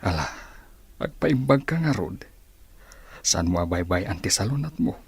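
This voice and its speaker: Raspy, low-voiced older family man. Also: sing-song, moderate pace, no echo, heavily acted. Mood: frank, reassuring. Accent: Filipino. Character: plain-living older family man, frank and caring. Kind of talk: radio drama acting.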